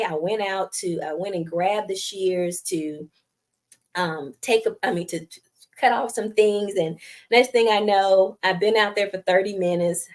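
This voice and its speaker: A woman's voice: on the low side, darkish tone. Giggly Southern woman, youthful voice. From United States. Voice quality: youthful, light. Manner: chatty, giggly, self-deprecating, reads viewer comments aloud. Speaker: live stream host. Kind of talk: casual live stream chat.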